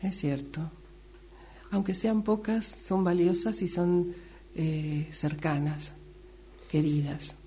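Middle aged argentine lady just chatting, lowish soft-edged voice, bit of hum. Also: steady tone, slow pace, long pauses. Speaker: radio drama workshop participant. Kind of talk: casual face-to-face exercise chat. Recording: humming, noisy amateur recording.

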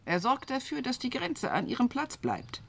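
A woman's voice: high-pitched, wildly swooping pitch, normal speed, gravelly, fairly bright tone, exaggerated voice. An actress playing an older widow, a stern but loving adoptive mother, dry and firm in a naturalistic radio drama. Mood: matter-of-fact.

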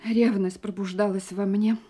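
A woman's voice: mid-range voice, flat delivery, moderate pace, dark tone, slightly rough, natural voice. A woman acting an anxious, guilt-ridden young wife in a radio play, often talking to herself in agitated whispers. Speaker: heroine and narrator of a radio play.

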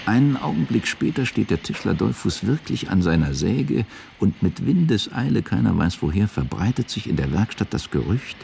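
A man narrating a radio drama from a script in a measured, sober manner. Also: fairly low pitch, sing-song, creaky, warm tone, a little hiss or hum.

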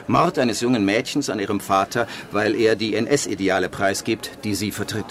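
An actor playing a wry, detached screenwriter who narrates a radio drama in a measured, dry, literary way. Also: male voice, fairly low pitch, lightly acted. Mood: reflective. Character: male screenwriter narrating his postwar schemes, wry and detached. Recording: studio radio play over music.

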